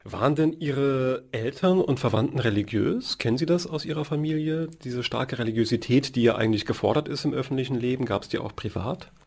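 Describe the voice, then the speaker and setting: A man hosting a radio interview and asking measured questions. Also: mid-range voice, creaky, darkish tone.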